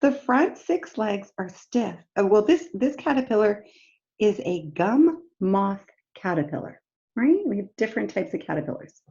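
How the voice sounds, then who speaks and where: A woman reading a picture book aloud to young children like a teacher, pausing to explain simply and ask them questions directly. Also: medium pitch, sing-song, gravelly, dark tone, highs cut off. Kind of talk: read-aloud story time lesson for young children, with explanatory asides.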